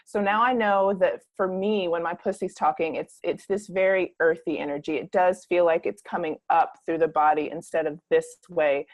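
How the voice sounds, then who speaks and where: A woman's voice: on the low side, fairly even intonation, normal speed, hardly any pauses, no echo. A woman hosting an interview, reflecting back on what she heard in an affirming, casual way. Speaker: podcast host.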